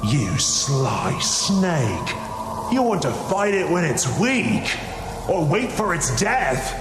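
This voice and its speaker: A boastful warrior hungry for battle, speaks in a high, widely swinging voice. He is theatrical and taunting, a video game character lingering over his words in a reverberant hall with music behind. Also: sing-song, slow pace. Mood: scornful. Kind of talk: video game cutscene acting.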